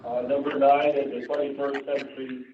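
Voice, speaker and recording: A man's voice: medium pitch, little pitch movement, normal speed. Man with Indian accent on a tinny line. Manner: reads from notes with frequent "uh" and restarts, adds casual asides. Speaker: board meeting presenter. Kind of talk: reading policy items at a public board meeting. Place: meeting room. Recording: distant, phone-like, roomy with faint hiss.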